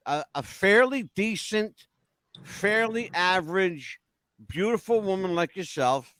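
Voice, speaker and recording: Older man with high-pitched, animated voice. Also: dry recording, low-quality recording. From Northeastern United States. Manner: teasing, theatrical, joking. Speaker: talk show host. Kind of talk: casual bantering interview.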